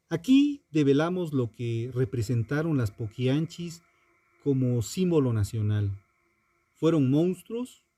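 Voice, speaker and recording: A man narrates a scripted true crime piece in a solemn, dramatic and deliberate manner. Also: fairly high pitch, warm tone, dry recording. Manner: solemn, dramatic, deliberate phrasing with rhetorical questions. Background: music.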